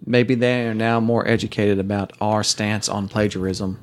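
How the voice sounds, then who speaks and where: A man's voice: fairly low pitch, steady tone, normal speed. A man from Oklahoma with an Oklahoma accent, co-hosting a casual podcast and rambling in mock irritation. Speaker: podcast co-host.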